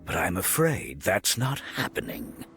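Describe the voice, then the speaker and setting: A man's voice: low voice, very animated, unhurried, music in the background, exaggerated voice. A man voicing a smug, theatrical, scheming villain in an anime game, with a suave, mocking, flamboyant manner.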